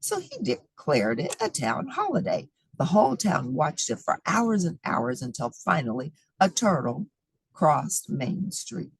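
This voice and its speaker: Breathy woman reading a picture book aloud. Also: sits low, a little slow, dry recording. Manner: expressive, with playful asides. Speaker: storybook reader.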